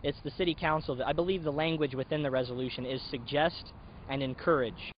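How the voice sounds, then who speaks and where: A man's voice: fairly high pitch, steady tone, fast. A man giving an earnest interview as a local resident, arguing his case. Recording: muffled, noisy outdoor street audio.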